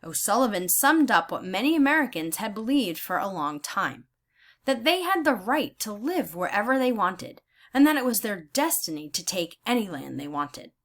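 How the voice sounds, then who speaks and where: A woman teacher reading aloud in a deliberate, instructional way, pausing to explain her thinking as she goes. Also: mid-range voice, sharp tone. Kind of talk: read-aloud lesson with think-aloud comments.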